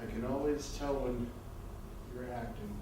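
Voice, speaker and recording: A man's voice: medium pitch, expressive, unhurried, close to an everyday voice. A man playing a star's jealous, clingy lover and agent in stage comedy style, exclamatory and needling. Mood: scornful. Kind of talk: stage comedy reader's theatre acting. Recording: very echoey stage, distant mic, noisy.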